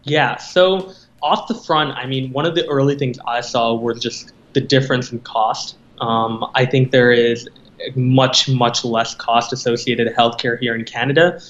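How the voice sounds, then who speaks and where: A man's voice: medium pitch, steady tone, measured pace, a bit bright. A man in his twenties, a podcast guest, telling his story in an easygoing, conversational way. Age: 20s. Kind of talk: podcast interview. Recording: dull, echoey remote line.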